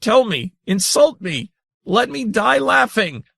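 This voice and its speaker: A man voicing a desperate, excitable male explorer who bleats, pleads and shrills. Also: fairly high pitch, normal speed, dry recording, studio quality, voice pushed up. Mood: frantic.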